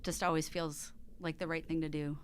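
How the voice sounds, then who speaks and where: A woman's voice: deep voice, little pitch movement, moderate pace, a little hiss or hum. Female musician as interview guest, conversational, reflective, earnest.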